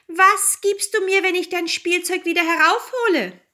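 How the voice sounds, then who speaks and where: Storybook frog, acted by a woman: male creature, high-pitched, demanding, pleading. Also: normal speed, sharp tone. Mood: bargaining. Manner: insistent, demanding. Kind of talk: fairy tale storytelling.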